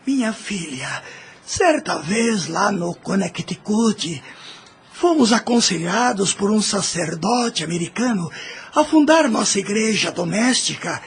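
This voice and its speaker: An actor playing a kindly, devout old uncle in a Brazilian audio drama, with a creaky voice and a gentle, solemn manner. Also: male voice, high-pitched, animated, a little slow, faint music behind.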